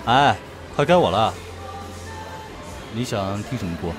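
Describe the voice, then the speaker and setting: A man's voice: on the low side, expressive, a little slow. A man playing a cocky, playful male student in an amateur audio drama, teasing as he talks. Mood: casual coaxing. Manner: teasing, swings from murmurs to loud calls. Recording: music behind the voice.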